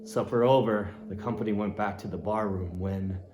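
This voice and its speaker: A man giving a dramatic, expressive reading of a classic novel, shifting his voice for effect. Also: on the low side, moderate pace. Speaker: audiobook reader. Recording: roomy echo, faint ambient noise.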